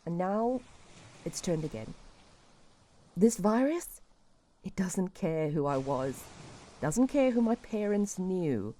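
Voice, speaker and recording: A woman acting a despairing refugee who confesses old guilt in a reflective, halting way, in an audio drama. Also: fairly low pitch, big pitch swings, mellow. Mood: sorrowful, guilty.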